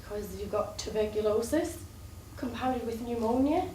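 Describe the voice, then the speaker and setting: Actress playing wounded sardonic lover, high voice, echoey. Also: female voice, normal speed, warm tone, natural voice. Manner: incredulous, biting, sarcastic. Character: wounded, sardonic long-time lover fighting for her man. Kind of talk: theatrical stage play dialogue. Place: theatre stage, recorded from afar. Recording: distant, echoey, noisy stage recording.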